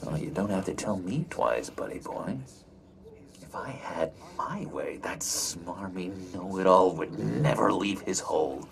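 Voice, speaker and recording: Unhinged needy criminal, wildly swooping voice. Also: male voice, deep voice, very animated, vocal fry, exaggerated voice. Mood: chummy, evasive. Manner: halting, stammering, swinging from pleading to menacing. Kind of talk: video game voice acting.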